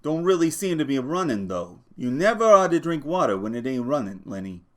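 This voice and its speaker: A man's voice: fairly high pitch, very animated, fairly quick, pitched above the performer's own voice. A man voicing a small, irritable working man who scolds and swears, from a storybook. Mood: exasperated. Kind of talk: audiobook character voice.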